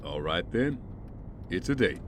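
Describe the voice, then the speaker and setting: A man playing a caring, easygoing, protective driver in an audio drama, speaking gently and conversationally. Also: sits low, very animated, measured pace, slight background noise.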